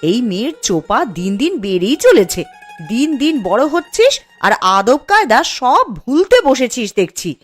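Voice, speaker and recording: A woman's voice: a little high, sing-song, normal speed. A woman playing a weary, scolding but caring village housewife and mother in a children's folktale cartoon. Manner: nagging, chiding. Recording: music under the voice.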